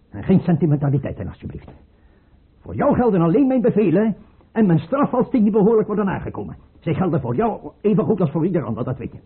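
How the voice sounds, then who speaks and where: An actor playing a paranoid, scornful tsar in a radio play, imperious and abrupt, with a husky, rough voice. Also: male voice, high-pitched, full character voice. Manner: imperious, abrupt, mocking, swinging from mutter to outburst. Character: paranoid tyrant tsar, erratic, scornful, suspicious father. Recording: muffled, tinny old radio play recording.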